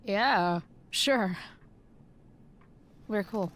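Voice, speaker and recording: A woman's voice: medium pitch, extremely slow, a bit bright. A woman playing a rebellious teenage schoolgirl in a video game, with a blunt, slangy, sarcastic way of talking. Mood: grudging. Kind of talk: naturalistic video game acting.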